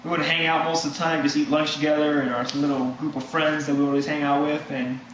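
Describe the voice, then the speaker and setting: A nineteen-year-old man casually telling a deadpan mock documentary story. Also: on the high side, fast talker. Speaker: student interviewee. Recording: echoey room with faint noise.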